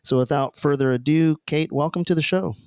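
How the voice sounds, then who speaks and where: A man's voice: fairly high pitch, fairly quick, a little mellow, clear, resonant tone, no room sound, studio quality. A man with an American accent hosting a podcast, moving between introductions and casual interview questions. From southern United States. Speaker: podcast host.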